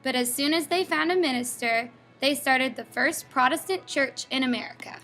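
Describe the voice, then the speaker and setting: A woman's voice: sits high, moderate pace, a bit bright, soft background music. A young woman from Texas reading her school family-history presentation in a scripted recitation. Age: young adult. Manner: scripted recitation with a playful aside. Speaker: student presenter.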